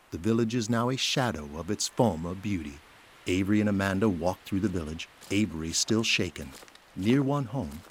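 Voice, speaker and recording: A man's voice: sits low, slight background noise. A man reading scripted narration for an audio drama.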